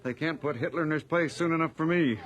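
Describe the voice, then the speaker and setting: A man's voice: fairly high pitch, fast, a bit bright, highs cut off, close to an everyday voice. Man acting a hearty small-town police chief. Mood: genial. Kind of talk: radio drama acting.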